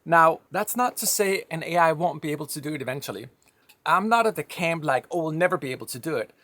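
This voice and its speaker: A man's voice: on the high side, lively intonation, fast talker, bright, forceful tone, dry recording. A man from Denmark with a slight Danish accent, giving a reflective, conversational monologue with firm opinions. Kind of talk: personal monologue.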